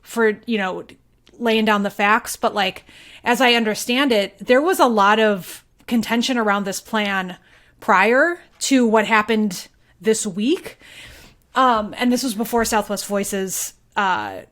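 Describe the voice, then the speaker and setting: A woman speaking informally and playfully as a local reporter in a casual, animated podcast conversation. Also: mid-range voice, takes it slowly.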